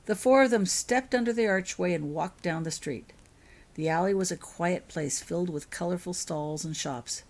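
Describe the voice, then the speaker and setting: A woman's voice: on the low side, a little mellow. A woman reading her own fiction aloud as scripted storybook narration.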